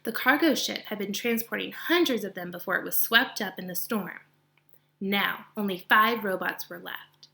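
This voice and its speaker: A woman reading a children's novel aloud with expression, pausing to explain narrative point of view to her listeners like a teacher. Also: medium pitch, vocal fry, pushed and bright.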